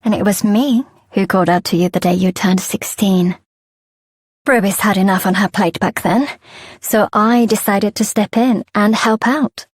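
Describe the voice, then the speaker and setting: Game casting: female actor as breezy fairy helper, mid-pitched, candid and conversational, close dry studio recording. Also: moderate pace, slightly rough, dry recording, close to an everyday voice. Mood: breezy, apologetic. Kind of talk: scripted video game voice acting.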